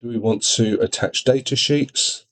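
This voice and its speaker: A man demonstrating software in an informal tutorial, explaining things step by step. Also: medium pitch, moderate pace. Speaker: product demonstrator.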